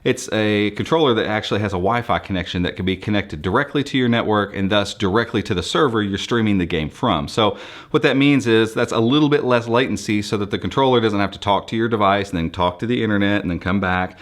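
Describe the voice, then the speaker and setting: A man's voice: lowish voice, fast talker. An American man presenting for a tech channel, explaining things to viewers in an enthusiastic, conversational, unscripted way.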